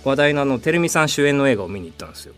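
A man with a mid-range voice and very animated intonation, co-hosting in casual chat. He speaks quickly and politely, prompting his partner, with faint music behind him. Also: medium pitch. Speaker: show writer and co-host.

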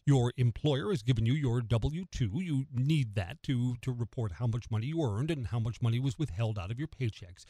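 A middle-aged American man hosting morning radio, giving opinion commentary in a conversational, emphatic way with pointed pauses. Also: medium pitch, lively intonation, mellow, no echo. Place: close, dry radio studio.

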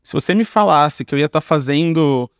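Higher-pitched Brazilian man, casual and reflective. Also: animated, normal speed, clean tone, no room sound, studio quality. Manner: informal, slangy, explains through examples. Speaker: podcast guest, storyteller and creator. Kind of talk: casual, reflective interview talk.

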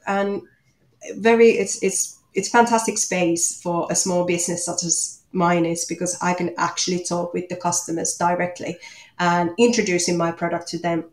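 A woman with a Finnish accent answering interview questions as a guest, speaking in a hesitant, halting way. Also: fairly low pitch. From Finland. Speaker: interview guest, watchmaker and small business owner. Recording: echoey room.

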